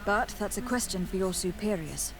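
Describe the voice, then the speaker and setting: A woman's voice: mid-range voice, animated, normal speed, noisy background, close to an everyday voice. An actress playing a commanding, skeptical noblewoman in a video game, questioning people in a formal manner. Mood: probing. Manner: formal, interrogating. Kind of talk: video game dialogue acting.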